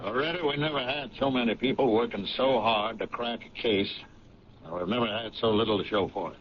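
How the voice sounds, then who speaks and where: A man's voice: mid-range voice, fairly quick, a crowd in the background, lightly acted. A man playing a weary, skeptical police captain in an old radio drama, with a dry, questioning manner. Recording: muffled, noisy 1970s radio recording.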